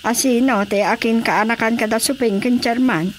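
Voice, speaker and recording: High-pitched, fretful Ilocano mother played by an actress. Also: normal speed, a little hiss or hum, natural voice. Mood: concerned, gossipy. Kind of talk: Filipino radio drama comedy acting.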